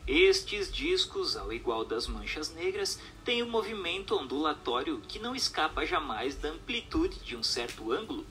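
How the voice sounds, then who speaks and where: A man reading an expository text as a narrator, in a formal, measured, didactic way. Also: on the high side, huge pitch swings, pushed and bright, a little hiss or hum.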